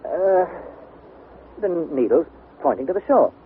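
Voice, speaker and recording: An actor playing an earnest, anxious young nephew who narrates and acts in a 1960s radio drama. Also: male voice, fairly high pitch, big pitch swings, measured pace. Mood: worried. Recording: tinny, noisy vintage radio recording.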